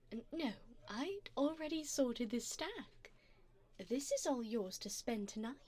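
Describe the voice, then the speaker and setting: A woman performs an elegant, moneyed vampire mistress for an ASMR romance roleplay. Her voice sits on the high side and swoops expressively. She coaxes and pampers with refined endearments, indulgent and doting throughout. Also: darkish tone, full character voice. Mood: amused, generous. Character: wealthy, doting older vampire sugar mommy, indulgent and elegant.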